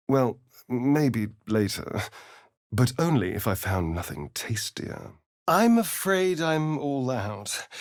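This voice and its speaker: A vain, flirtatious male vampire rogue for a video game. His delivery is arch and theatrical, swooping widely in pitch and taking its time. The sound is dry and close. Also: no room sound. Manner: theatrical, arch, languid drawl. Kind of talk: video game character lines.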